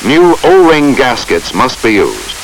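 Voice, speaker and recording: Male narrator for an instructional film: formal and measured, lively intonation, slightly hissy vintage soundtrack. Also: medium pitch, low-quality recording.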